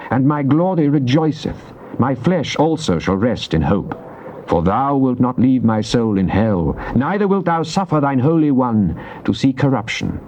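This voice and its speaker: An actor playing a devout, earnest psalmist, reciting scripture in a solemn, measured, declamatory theatrical style. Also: male voice, medium pitch, darkish tone, close to an everyday voice. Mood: joyful reverence. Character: devout psalmist, earnest man praying to God. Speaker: dramatized scripture reader. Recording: dull noisy cassette tape transfer.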